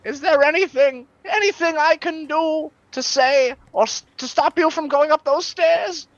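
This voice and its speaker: A woman's voice: high-pitched, measured pace, no echo, poor audio. A woman playing a slippery, pleading male con artist in a comic radio play, stammering and wheedling as the character talks. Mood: flustered.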